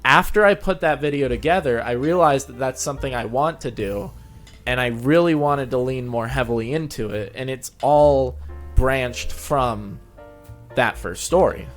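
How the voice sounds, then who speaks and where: A man's voice: medium pitch, moderate pace. A man co-hosting a casual interview chat about his channel, speaking conversationally. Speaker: co-host and narrator of a story-reading channel. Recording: background music under the voice.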